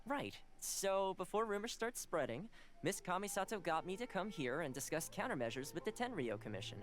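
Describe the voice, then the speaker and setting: An easygoing young envoy in a video game. His delivery is courteous and diplomatic, with lively rises and falls over faint background music. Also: sits high, a little fast. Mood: explaining, diplomatic. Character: friendly, diplomatic young male household envoy. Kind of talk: game dialogue. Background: soft game music.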